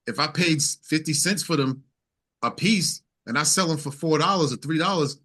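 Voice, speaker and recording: A man's voice: fairly high pitch, moderate pace, sharp tone. A man speaking casually as an interview guest and singer-songwriter, emphatic, repeating phrases and quoting conversations as he tells anecdotes.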